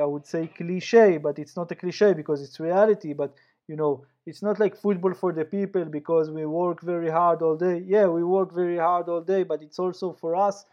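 A man's voice: a little high, darkish tone, muffled recording. A man with an Israeli accent, a sports journalist answering interview questions passionately, with frequent restarts and fillers.

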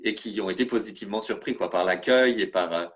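Guest from France: low voice, halting delivery, muffled lo-fi recording. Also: male voice, fairly bright tone. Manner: hesitant, many restarts. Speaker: interview guest. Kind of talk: casual interview talk.

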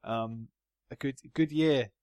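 A man's voice: mid-range voice, slow pace, a little mellow, clear, resonant tone, dry recording. A man co-hosting a casual podcast about motor racing, joking and laughing often. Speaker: podcast co-host.

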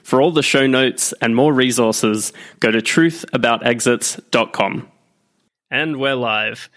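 A man hosting a business podcast with a brisk, friendly delivery. His voice sits at an ordinary pitch. It is recorded close and dry, with no room sound. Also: a bit bright, no room sound. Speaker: podcast host and deal maker. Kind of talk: scripted intro then casual interview.

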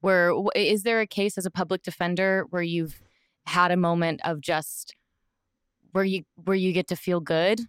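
A woman hosting a podcast, asking questions in a halting, friendly way with many restarts. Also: on the low side, steady tone, clear, resonant tone, no echo, clean studio sound. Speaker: podcast host. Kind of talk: interviewing in casual chat.

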